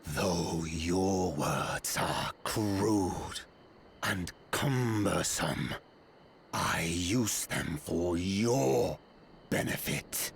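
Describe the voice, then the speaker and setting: Game giant: haughty conqueror, deep gravel, ponderous halting delivery, solemn and scornful. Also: male voice, lively intonation, a little hiss or hum, exaggerated voice. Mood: solemn, disdainful. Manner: slow, halting, solemn, archaic phrasing. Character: grim, proud giant conqueror speaking slowly and haughtily. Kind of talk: video game voice acting.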